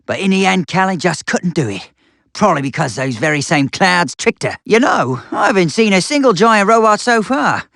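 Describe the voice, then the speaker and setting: Paranoid, cantankerous old eccentric. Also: male voice, high voice, normal speed, gravelly, dry recording, studio quality, full character voice. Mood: grumbling, rambling. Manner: folksy, rambling, excitable, grumbling. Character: eccentric, cloud-paranoid old man, cantankerous ranting inventor. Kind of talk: video game character acting.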